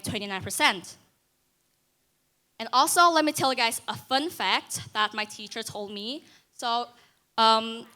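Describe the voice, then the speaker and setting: A woman's voice: a little high, bright, forceful tone. A teenage girl with a Vietnamese accent giving an earnest school stage talk, with frequent restarts and asides to the audience. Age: teens. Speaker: student speaker.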